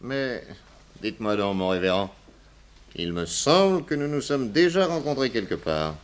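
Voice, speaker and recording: An actor playing a shrewd private detective, assured, ironic and commanding, in a 1960s French radio crime drama. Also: male voice, mid-range voice, sing-song, moderate pace, smooth, lightly acted. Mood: wry, mocking.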